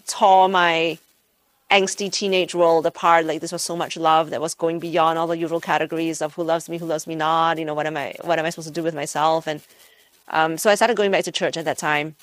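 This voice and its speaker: A woman raised in Malaysia, a physics professor, talks through her answers in a measured, reflective way. She often circles back and restarts a phrase before going on. Her voice sits a little low, recorded close and dry. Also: no echo. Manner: thoughtful, many restarts and fillers. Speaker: physics professor, interview guest. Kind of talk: interview answers.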